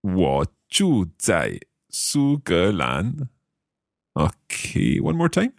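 A man's voice: low-pitched, wildly swooping pitch, very slow, vocal fry, no room sound, studio quality. A man from Scotland hosting a podcast language lesson, speaking carefully and repeating phrases aloud. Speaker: language learner and podcast host.

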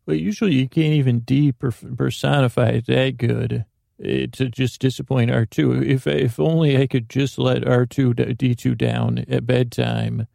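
A man's voice: mid-range voice, fairly even intonation, vocal fry, mellow, no room sound. Male sleep-podcast host, meandering lulling monologue, dry close sound. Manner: slow, meandering, self-interrupting. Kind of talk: rambling bedtime monologue.

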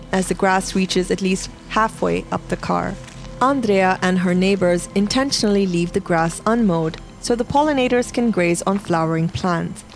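A woman's voice: on the low side, creaky, a little mellow. A woman reads scripted documentary narration as the narrator of a reportage.

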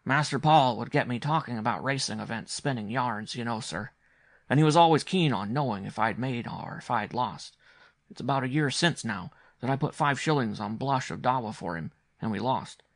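Storybook manservant, played by a male reader: deferential, reverent, confiding, with a creaky edge. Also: mid-range voice, lively intonation, normal speed, no room sound, voice pushed up. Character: deferential male servant, devoted betting partner.

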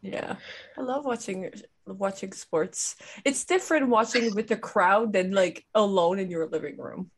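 A young woman co-hosting a podcast in casual chat, hoarse from losing her voice, laughing often as she tells stories. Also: medium pitch, measured pace. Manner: laughs often, animated storytelling. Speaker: podcast co-host.

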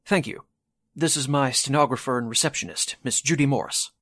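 A man playing a brisk, genial radio station host in a 1940s-style radio drama. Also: mid-range voice, a bit bright, no echo, clean studio sound. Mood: genial, businesslike. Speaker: actor in an amateur radio drama re-creation.

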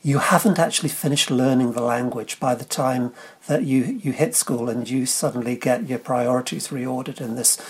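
A man in his sixties, a science writer answering interview questions in a halting way, often repeating words. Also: medium pitch, slightly rough.

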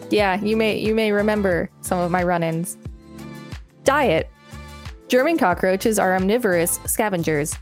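Female co-host, average pitch, article narration plus casual asides, light music bed. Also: a little slow. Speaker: podcast co-host reading encyclopedia articles. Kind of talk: read-aloud narration with casual commentary.

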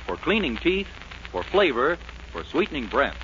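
A man's voice: mid-range voice, sing-song, normal speed, background noise. A man announcing a 1940s radio show in a polished, emphatic scripted delivery. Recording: muffled 1940s radio recording.

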